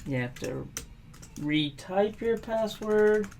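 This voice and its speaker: A middle-aged man from South Carolina walking through a software setup at his desk, relaxed and unhurried, with frequent ums and uhs. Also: high-pitched, a little hiss or hum. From South Carolina, USA. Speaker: WordPress tutorial host. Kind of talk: casual screen-recorded software tutorial. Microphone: webcam overlay at desk.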